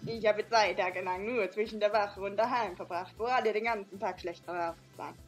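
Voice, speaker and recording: A woman's voice: mid-range voice, fairly even intonation, moderate pace, music in the background. A young woman hosting a let's play, reading visual novel dialogue aloud with playful, giggly asides. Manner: giggly, reads lines playfully.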